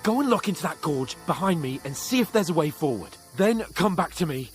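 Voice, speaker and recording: A sarcastic, jittery young nobleman, as a man acts him out, a touch higher than most men. He stammers when rattled and snaps back with quick jibes. Faint music plays behind this game cutscene. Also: expressive, natural voice. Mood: tense. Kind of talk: video game cutscene acting.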